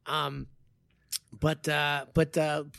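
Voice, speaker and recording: A man in his late forties with a gravelly, fairly high voice, chatting casually on a podcast. He rambles and restarts his sentences often and sounds modest. The sound is dry and close. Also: completely flat delivery, very slow, bright, forceful tone, no echo. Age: 40s. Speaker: podcast host and jiu-jitsu coach. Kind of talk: casual conversation.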